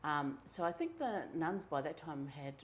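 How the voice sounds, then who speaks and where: Woman, New Zealand accent, middle-aged, low pitch, relaxed storytelling, faint noise. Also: normal speed. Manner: relaxed and chatty, with many ums and quoted asides. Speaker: community member telling her story. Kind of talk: casual personal storytelling.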